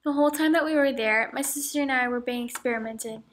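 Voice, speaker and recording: A woman's voice: high voice, quick pace, lightly acted. A young woman playing a female camp survivor in a student mock interview, reciting her account as if reading a script. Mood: recounting. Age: young adult. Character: woman camp survivor and twin, grave and matter-of-fact. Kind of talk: amateur mock interview role-play.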